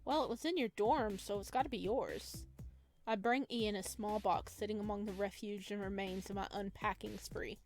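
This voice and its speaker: A woman reading a visual novel aloud as a let's play host, dropping chatty, expressive reactions between the narration. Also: mid-range voice, normal speed, soft background music.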